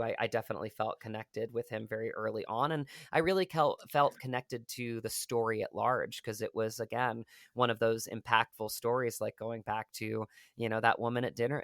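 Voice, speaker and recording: An earnest man rambles through his story with plenty of fillers and restarts. His voice is gravelly and his pitch stays flat and even. The sound is dry and close to the mic. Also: no echo. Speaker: actor guest. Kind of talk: casual interview conversation.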